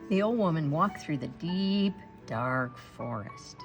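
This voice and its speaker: A woman reading a picture book aloud to children in an expressive storytelling style. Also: low voice, takes it slowly, faint music behind. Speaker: storybook read-aloud reader.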